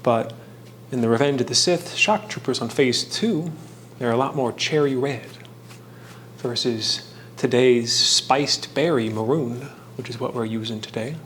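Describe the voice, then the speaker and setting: A man with a mid-pitched voice hosts a hobby craft video. He talks through the steps informally, in short halting phrases with jokey asides and bits of trivia. Clear ambient noise sits behind his voice. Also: fairly bright tone. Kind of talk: casual tutorial narration with fun facts.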